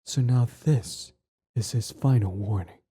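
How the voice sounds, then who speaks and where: A man playing a cold, menacing young villain prince in an ASMR roleplay, with a taunting, condescending drawl and soft threats that sink toward a whisper. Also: mid-range voice, unhurried. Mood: cold, threatening. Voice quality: husky, breathy, dropping into whispers.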